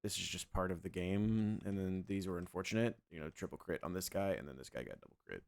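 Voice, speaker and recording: A young man streaming a game and chatting loosely with his viewers, his voice a little scratchy from yelling the night before. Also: low-pitched, fast, creaky. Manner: relaxed, rambling, talks to chat. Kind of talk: casual livestream chat.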